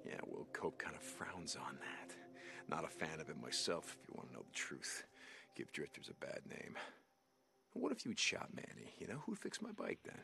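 Gravelly, sardonic drifter biker. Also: male voice, huge pitch swings, moderate pace, heavily acted. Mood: menacing, sardonic. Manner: low muttering, terse, sardonic. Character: gruff, sardonic drifter biker and bounty hunter, Deacon. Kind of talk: naturalistic game cutscene acting.

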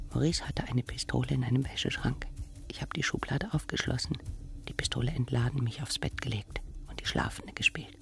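An actress playing a bitter, vengeful, murderous aunt in a German radio crime drama. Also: female voice, creaky. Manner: hissing whispers rising to shrill outbursts.

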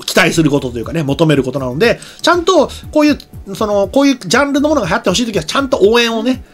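A man in his forties chats as a talk show co-host over background music. His pitch jumps around a great deal, and he rambles on with a fan's enthusiasm in a casual style. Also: big pitch swings, very fast, a bit bright. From regional Japan, outside Tokyo. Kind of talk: casual chat about anime. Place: small streaming studio, indoors. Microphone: desk microphone on boom arm.

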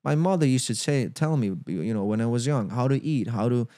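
A man in his thirties from Belgium, a native Dutch speaker with a Belgian accent, talking haltingly as a guest in a podcast studio. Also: mid-range voice, expressive, dark tone, no echo. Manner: halting, many restarts and "you know"s. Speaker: actor guest. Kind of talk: casual interview chat. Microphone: studio mic with headphones.

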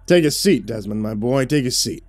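A man's voice: medium pitch, lively intonation, normal speed, darkish tone, soft background music, natural voice. A man voicing a smug older male newspaper boss who bullies and gloats. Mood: gloating. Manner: gloating, bullying.

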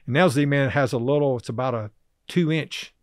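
A middle-aged man, a podcast guest talking casually and haltingly about fishing, full of fillers and restarts. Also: mid-range voice, dry recording, studio quality. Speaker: podcast guest, river angler. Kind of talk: casual interview chat. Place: small office studio, indoors. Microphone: desk podcast mic on boom arm.

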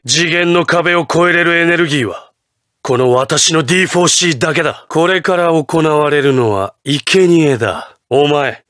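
An actor playing an imperious, ruthless patriotic president in a Japanese anime fighting game, with grandiose, theatrical declarations. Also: male voice, a little high, fairly bright tone, no echo, clean studio sound. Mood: ruthless, resolute.

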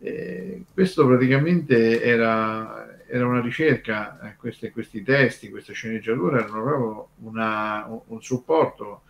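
A man's voice: mid-range voice, measured pace, creaky, hardly any pauses, lo-fi sound. A man explaining conversationally on a radio show, discursive and hesitant, repeating words as he goes. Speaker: radio show co-host and commentator.

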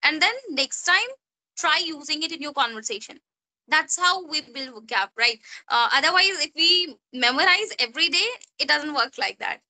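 A woman's voice: sits high, lively intonation, moderate pace, sharp tone, poor audio. A young woman with an Indian English accent, a native Hindi speaker, tutoring English casually on a video call and often adding "you know" and "right?". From Jharkhand, India. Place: small room indoors. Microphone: phone at arm's length on a video call.

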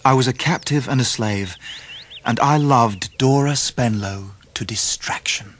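A man playing an earnest, sensitive young gentleman who tells his own story in a radio drama, polite and wry. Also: medium pitch, measured pace, a little hiss or hum, natural voice. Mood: wistful reflection. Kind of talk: dramatised first-person narration, 1990s radio drama.

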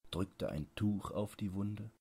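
A man narrating a literary bedtime audiobook, reading with measured, deliberate phrasing. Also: lowish voice, mellow, husky.

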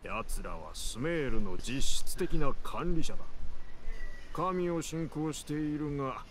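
An actor playing a worldly, nonchalant mercenary in a Japanese video game, casual and rough-spoken as he explains things. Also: a little high, animated, slow, slight background noise. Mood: explanatory. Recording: game soundtrack music behind voice.

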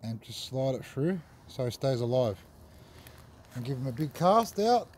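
A man's voice: medium pitch, very animated, moderate pace, darkish tone, slight background noise. A young Australian man giving casual fishing tips on a harbour wharf at night, rambling and swearing now and then, filmed on a phone. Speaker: fishing vlogger. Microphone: phone camera held close.

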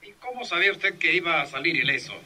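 Sharp, blustering police captain. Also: male voice, a little high, animated, fast, exaggerated voice. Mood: indignant. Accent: Mexican. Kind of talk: old radio serial acting.

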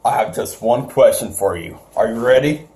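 Guy acting as a pushy prank caller doing wrestling promo pitches, mellow voice. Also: normal speed, natural voice. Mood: hyped, teasing. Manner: relentless, promotional. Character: pushy prank caller acting as a hype wrestling pay-per-view announcer. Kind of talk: sales pitch on a prank call.

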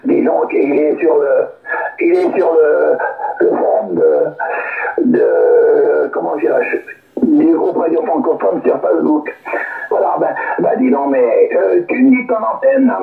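Over a thin, phone-like radio signal, a man rambles haltingly in casual chat. His voice is high for a man and swings up and down a lot. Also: vocal fry, echoey room. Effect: radio transmission. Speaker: amateur radio operator.

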